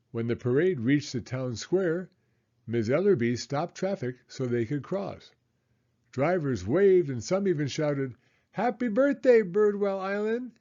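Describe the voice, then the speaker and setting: Male storybook reader for children: expressive, animated delivery, soft mellow tone. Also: mid-range voice, lo-fi sound. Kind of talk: children's read-aloud narration.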